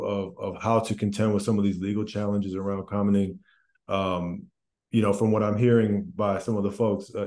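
Halting low-voiced Midwestern man in echoey room. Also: warm tone. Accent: American Midwestern. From Minneapolis, United States. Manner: halting, many fillers and restarts. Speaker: PhD student, panel attendee. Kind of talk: casual spoken comment on a video call. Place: small bare room. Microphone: video call webcam.

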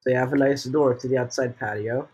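A man's voice: medium pitch, steady tone, brisk, darkish tone, breathy edge, highs cut off. A teenage boy voicing a casual building tutorial while sick, rambling with fillers and jokey asides. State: sick, voice a bit off. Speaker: gaming tutorial host.

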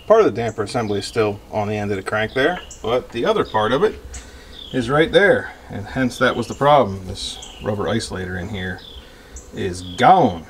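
A man's voice: medium pitch, slight background noise. A man in his forties hosting a car repair video, talking casually and plainly as he works on a truck in his garage. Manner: relaxed, plainspoken, explaining as he works. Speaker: car repair channel host. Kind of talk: casual DIY repair narration. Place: garage and driveway beside a truck.

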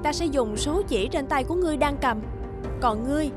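Woman playing a commanding goddess, high clear authority. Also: fairly quick, over music, lightly acted. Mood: calm, dignified. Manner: dignified, authoritative. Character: proud, commanding goddess, stern but fair. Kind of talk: animated fairy tale dubbing.

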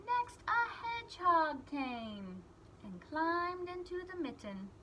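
A woman's voice: very high voice, big pitch swings, slow pace, pushed and bright, a little hiss or hum, low-quality recording. A young woman reading a picture book aloud to small children, in simple phrases with emphasized key words. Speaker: children's read-aloud storyteller.

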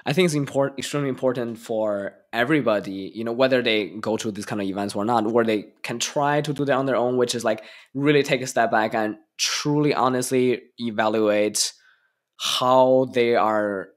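A man's voice: medium pitch, normal speed. Young male host, eager, many affirmations, podcast mic on a video call. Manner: eager, frequent affirmations, rambling questions. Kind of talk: casual interview conversation. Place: home room, indoors. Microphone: podcast microphone on video call.

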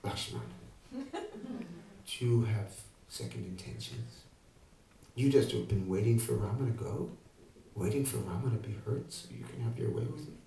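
Man playing a high, pleading young princess in a storybook, echoey room, far mic. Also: big pitch swings, moderate pace, long pauses, mellow. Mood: accusing. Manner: pleading, rising to panic. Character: young woman, a gentle princess turned frantic.

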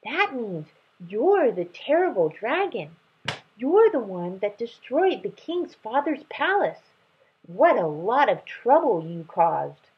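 A woman's voice: fairly high pitch, very animated, takes it slowly, breathy edge, muffled recording, voice pushed up, heavily acted. A woman voicing a cheeky, teasing young lion cub in a children's storybook read-aloud, with a blunt childlike manner. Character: cheeky, giggly young male lion cub, stone statue.

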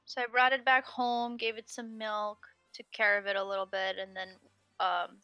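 A woman's voice: medium pitch, level tone, moderate pace, smooth, dry recording, highs cut off, soft background music. A woman from Russia, speaking English as a while chatting and walking friends through a game on a stream. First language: not English. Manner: chatty, guides others through game menus. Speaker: stream host. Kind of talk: casual gaming stream chat.

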